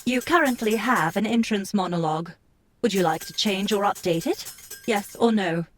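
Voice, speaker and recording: A man's voice: high-pitched, moderate pace, fairly bright tone, voice pushed up. A man doing a formal game system announcer.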